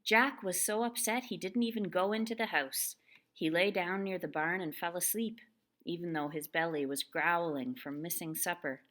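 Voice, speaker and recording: A woman's voice: on the low side, fairly even intonation, normal speed, fairly bright tone. A woman narrating a scripted children's story as the storyteller of a puppet show.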